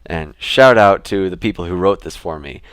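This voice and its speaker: A young man talking casually to his camera in a relaxed, rambling way, with fillers and restarts. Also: sits low, normal speed, clean tone, dry recording. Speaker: vlogger.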